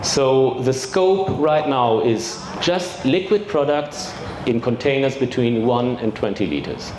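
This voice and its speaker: A man with a German accent giving a technical presentation at a trade fair, measured, with fillers and throat clearing. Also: medium pitch, expressive. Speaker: industry expert presenter. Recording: echoey hall with background noise.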